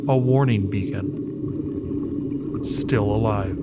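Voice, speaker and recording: A man narrating a scripted suspense story deliberately, with dramatic pauses. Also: fairly low pitch, heavy background noise. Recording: prominent music under the voice.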